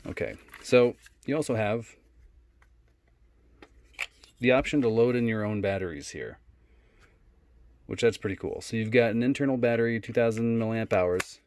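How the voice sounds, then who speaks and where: A man giving a casual, unscripted product demonstration in a low-key, halting way. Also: darkish tone, a little hiss or hum. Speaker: product reviewer.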